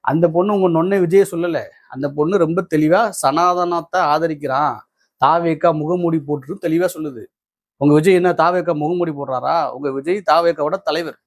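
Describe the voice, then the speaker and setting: A man with a high-pitched voice and a Tamil Nadu accent speaks as a political commentator. He addresses viewers directly in an opinionated, combative way. He often repeats a phrase to drive it home, sounding indignant. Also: fairly even intonation, brisk.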